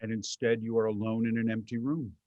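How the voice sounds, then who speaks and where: An actor playing a wry, flippant voice in a collector's head, dry, husky and sardonic, in a staged reading. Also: male voice, fairly low pitch, flat delivery, brisk, darkish tone, no room sound, muffled recording, natural voice. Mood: dry teasing. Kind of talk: theatrical staged reading, comic dialogue.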